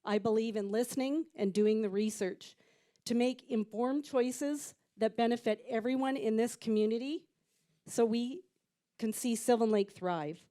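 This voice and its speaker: Earnest woman with a mellow higher voice. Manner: proud, earnest, measured. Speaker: council candidate. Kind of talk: prepared campaign speech. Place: community recreation center hall.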